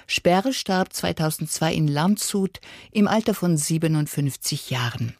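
A woman announcer reading a scripted introduction on the radio in a measured, formal style. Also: low-pitched, expressive, vocal fry, a little mellow, no echo, clean studio sound.

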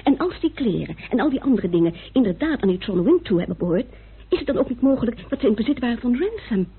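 An actress playing a sharp, insistent woman reasoning out a murder case in a vintage Dutch radio play, with a muffled recording. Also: fairly high pitch, very animated, fast, slightly rough, full character voice. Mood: pondering.

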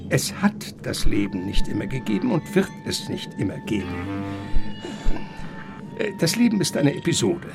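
Old eccentric professor in a radio play, gravelly, super animated, theatrical lecturing, music behind. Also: male voice, mid-range voice. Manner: theatrical, savoring words, halting then surging. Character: eccentric older male professor, erudite, courteous, rapturous lecturer. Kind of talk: German radio play acting.

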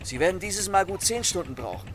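A man playing a suave, mocking gentleman thief in a German radio play, with a theatrical, commanding manner. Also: high voice, big pitch swings, brisk, slight background noise. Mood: brisk, commanding. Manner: theatrical, urbane, playfully threatening.

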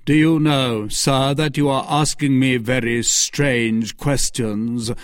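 A man's voice: mid-range voice, fairly even intonation, takes it slowly, dry recording, studio quality, natural voice. A man voicing a proud, commanding duke who answers with haughty dismissal.

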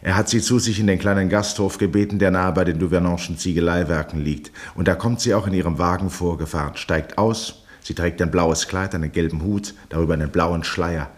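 An actor as the grave, measured narrator of a 1960s German radio drama, reading literary prose. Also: male voice, deep voice, steady tone, close to an everyday voice.

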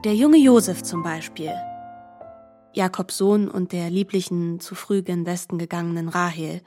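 A woman narrating a literary radio play as a composed storyteller, with calm, deliberate phrasing. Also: fairly low pitch, faint music behind, close to an everyday voice. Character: composed female storyteller of an epic.

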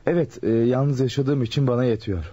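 Man acting a flustered, mellow-voiced ordinary fellow. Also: mid-range voice, fairly quick, slightly rough, close to an everyday voice. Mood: nervous, evasive. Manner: muttering to himself, hesitant, flustered. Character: nervous ordinary man caught up in a robbery. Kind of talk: radio drama acting. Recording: dull lo-fi radio recording.

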